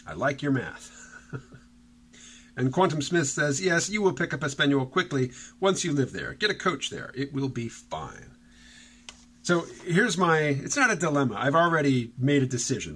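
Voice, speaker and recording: A man's voice: on the high side, fairly bright tone. A man talking casually in a solo vlog, rambling and chuckling as he reads out viewer comments. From Southern United States. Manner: rambling, chuckling, many fillers and restarts. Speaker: vlogger.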